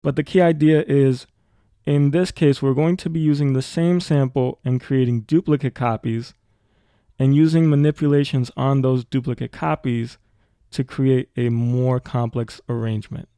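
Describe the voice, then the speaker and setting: Music production tutor, male, casual explainer. Soft-edged, mellow tone, dry recording. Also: medium pitch, fairly even intonation, moderate pace, clear, resonant tone, no echo.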